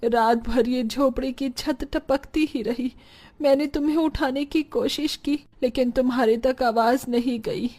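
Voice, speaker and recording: Actress as a poor village woman, very high pitch, plaintive, emotional, devoted, cartoon story. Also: fairly even intonation, measured pace, warm tone. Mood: distressed. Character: distressed, devoted women of a poor flood-hit village.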